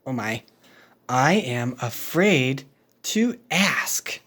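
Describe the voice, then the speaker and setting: A woman's voice: extremely low pitch, expressive, very slow, a bit bright, husky, voice pushed down, full character voice. A woman voicing a reluctant, fretful elephant in a picture book, with exasperated, dramatic delivery. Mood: worried.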